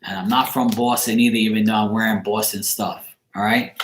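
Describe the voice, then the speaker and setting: A man's voice: fairly low pitch, steady tone, brisk. Echoey man chatting casually. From Bermuda. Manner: informal, blunt, joking. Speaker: livestream tarot reader. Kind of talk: casual livestream chat with viewers. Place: living room, indoors. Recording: echoey room with faint noise, clicks.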